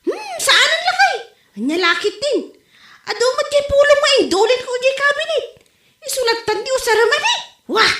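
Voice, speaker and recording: An echoey room carries a woman playing a chatty, vain Ilocano mother in a comedy drama. Her voice is very high and swings widely as she banters and exclaims like a squabbling wife. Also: expressive, normal speed, sharp tone, heavily acted. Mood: defensive, playful. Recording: roomy, echoey home recording.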